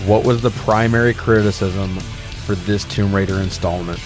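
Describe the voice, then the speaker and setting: A man's voice: on the low side, steady tone, moderate pace, music in the background. A man co-hosting a podcast, casually recapping and riffing with incredulous, sweary humor. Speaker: podcast co-host. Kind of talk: casual comedic film recap and chat.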